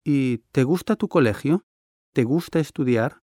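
A man playing a curious, lively interviewer. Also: medium pitch, measured pace, no echo, studio quality, lightly acted. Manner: curious, polite questioning. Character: friendly adult male interviewer. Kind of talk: scripted dialogue for a listening test.

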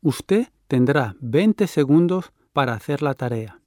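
A man's voice: mid-range voice, lively intonation, warm tone, no room sound, studio quality. Man giving formal exam instructions. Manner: formal and measured. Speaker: exam announcer.

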